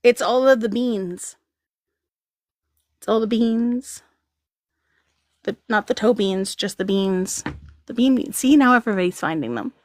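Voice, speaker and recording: Woman host of a casual stream, giggly and rambling, a touch high-pitched, recorded dry. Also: measured pace, pauses often, a little mellow, no room sound. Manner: giggly, rambling, reads chat aloud. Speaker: livestream host. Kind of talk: casual livestream chat.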